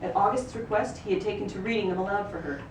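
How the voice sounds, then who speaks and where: A woman reading a novel excerpt aloud at a live reading, in an expressive storytelling manner with occasional stumbles. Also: medium pitch, quick pace. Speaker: actor reading a book excerpt. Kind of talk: live literary reading, third-person narration. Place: live reading in a roomy venue. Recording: roomy, distant microphone, noisy ambience.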